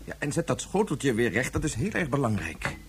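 A man's voice: medium pitch, sing-song, a little fast, slight background noise. A man in a radio drama playing a preoccupied father who explains things in formal, reasoned language. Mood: distracted.

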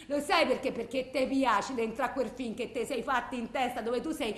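A high-pitched, distraught wife with a thick Roman way of speaking , acted by a woman, pours out her case to her lawyer. She rambles, repeats herself and justifies everything in agitated, colloquial bursts. The radio monologue rings in an echoey room. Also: moderate pace, natural voice. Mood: angry, indignant. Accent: Roman Italian. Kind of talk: theatrical radio monologue, framed as phone messages. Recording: roomy, echoing sound.

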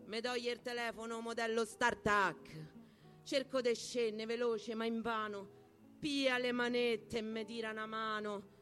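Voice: medium pitch, fairly even intonation, unhurried, fairly bright tone. A performer with a Roman accent giving a theatrical, emphatic spoken-word recital of rhymed verse over guitar music. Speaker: poetry performer.

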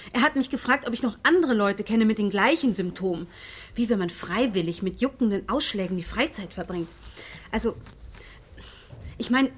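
An actress playing a brusque, impatient shop saleswoman in a radio play, with a husky voice. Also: on the high side. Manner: blunt, impatient. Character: bored, brusque phone-shop saleswoman, gossipy and squeamish.

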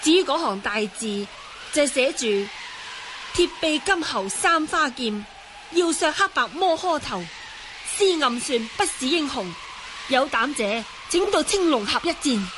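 Very high-voiced young martial-arts heroine. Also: female voice, expressive, unhurried, noisy background. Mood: explaining. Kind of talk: Cantonese radio drama acting.

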